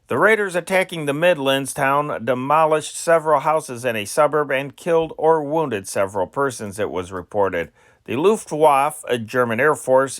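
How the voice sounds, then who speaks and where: A man reading wartime newspaper reports aloud as an amateur newsreader, phrase by phrase in a deliberate manner, with an occasional stumble. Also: mid-range voice, fairly bright tone, no room sound, studio quality. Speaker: amateur news reader and channel host.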